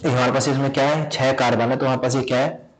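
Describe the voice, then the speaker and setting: Male chemistry tutor from India, mid-pitched, sore throat, repetitive question-and-answer teaching. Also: little pitch movement, quick pace, poor audio. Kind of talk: explaining a lesson.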